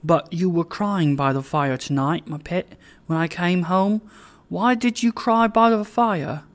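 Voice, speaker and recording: A narrator voicing a doting, excitable old working-class father in an audiobook, exclaiming and repeating himself exuberantly. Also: mid-range voice, a little slow, lightly acted. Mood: hearty, affectionate.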